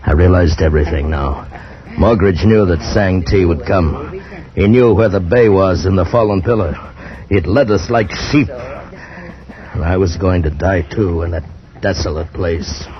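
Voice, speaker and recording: An actor in old time radio drama playing a haunted adventurer, narrating and speaking with tense urgency on an old recording. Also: male voice, low-pitched, unhurried. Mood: haunted, tense narration. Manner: tense, hushed narration breaking into desperate cries. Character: Johnny, haunted male adventurer narrating a desert ordeal. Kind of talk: 1950s old time radio drama acting, first-person narration and dialogue. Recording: muffled old radio recording with music underneath.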